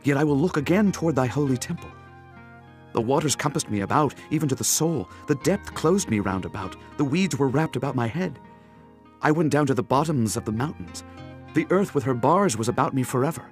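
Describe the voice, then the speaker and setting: A man acts an anguished, fervent prophet in a scripture drama. His medium-pitched voice is solemn and measured, over faint music. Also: lively intonation, natural voice. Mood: anguished prayer.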